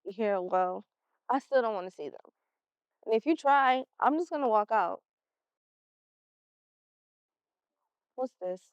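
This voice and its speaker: A woman's voice: on the high side, lively intonation, normal speed, clean tone, no echo. A woman playing a guarded, resentful sixteen-year-old schoolgirl in a stage play, curt and blunt. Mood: sullen. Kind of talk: amateur stage play acting. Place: theater stage. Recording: muffled, dull stage recording.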